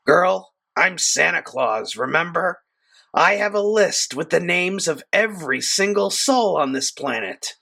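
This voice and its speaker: Villainous Santa: high, creaky, theatrical and taunting, echoey recording. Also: male voice, lively intonation, pushed and bright, heavily acted. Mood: smug, taunting. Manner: theatrical, taunting, drawn-out delivery. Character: sinister, gloating murderous Santa Claus villain. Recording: echoey room, distant from mic.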